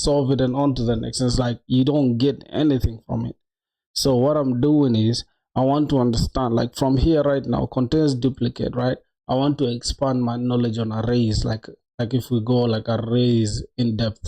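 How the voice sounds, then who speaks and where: Mellow, casual young man on a coding stream. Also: mid-range voice, poor audio. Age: 20s. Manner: slangy, addresses viewers as bro and dog. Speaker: coding livestreamer. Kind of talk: casual live-stream chat while coding.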